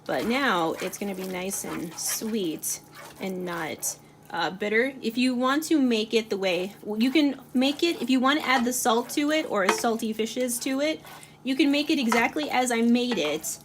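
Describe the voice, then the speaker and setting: A woman's voice: on the high side, unhurried. A woman hosting a casual home cooking video, chatting with lots of fillers as she stirs a pot. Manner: chatty, many fillers, talks while stirring. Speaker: cooking channel host. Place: home kitchen. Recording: audible kitchen noise. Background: frying and stirring sounds.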